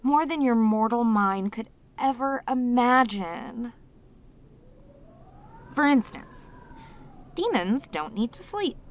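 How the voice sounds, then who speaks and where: Girl doing a sweet clingy demon lady, high emotive voice, slow and tender, audio roleplay. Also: animated. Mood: devoted, eager. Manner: tender, hesitant, slightly stammering, clingy, emotive. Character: lonely, sweet, possessive female demon, sealed away, obsessively devoted. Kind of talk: roleplay audio drama, intimate monologue addressed to listener.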